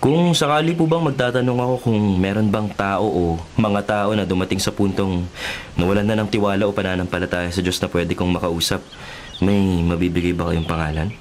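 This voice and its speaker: A man's voice: sits low, fairly even intonation, fairly quick, a little mellow, slight background noise, lightly acted. A man playing a polite, earnest journalist in a Filipino radio drama, courteous and inquisitive. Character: polite, earnest male journalist making a documentary.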